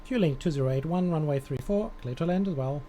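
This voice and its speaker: A man playing air traffic controller on a live stream, giving measured procedural clearances with casual asides. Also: a little high, mellow.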